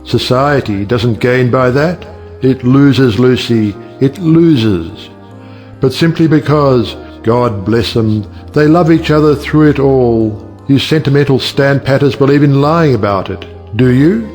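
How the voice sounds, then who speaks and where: An actor playing a witty, cynical middle-aged uncle and judge who lectures rhetorically in an audiobook stage play. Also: male voice, mid-range voice, a little slow, darkish tone, faint music behind, lightly acted. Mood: earnest, argumentative. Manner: rhetorical, sardonic, declamatory.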